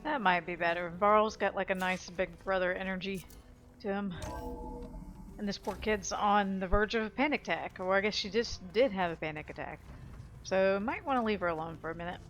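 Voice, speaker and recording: A woman's voice: mid-range voice, moderate pace, a bit bright, soft background music. A woman giving casual, informal game commentary in musing asides. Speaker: gameplay commentator.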